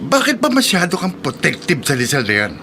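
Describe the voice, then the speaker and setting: A defensive, irritable husband in a radio drama. His voice is high and forceful, swinging animatedly as he complains and challenges. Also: wildly swooping pitch, fast talker, slight background noise, exaggerated voice. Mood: irritated, defensive.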